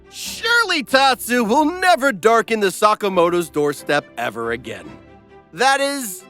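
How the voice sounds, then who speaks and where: Male recap narrator, bright forceful high voice, mock-serious and sarcastic. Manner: mock-dramatic, sarcastic asides. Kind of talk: scripted comedic recap narration.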